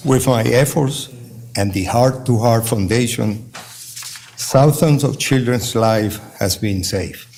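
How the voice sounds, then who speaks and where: An older man with a Venezuelan Spanish accent, a native Spanish speaker, reading a halting statement to a court as a father. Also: medium pitch, darkish tone, a little hiss or hum. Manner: slow, halting, careful phrasing with restarts. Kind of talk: reading a victim-impact-style court statement.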